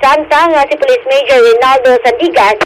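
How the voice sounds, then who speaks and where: Filipina field reporter on radio, high voice, halting delivery, noisy feed. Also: female voice, steady tone. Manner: halting, frequent hesitations and repeats. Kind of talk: news report, partly improvised.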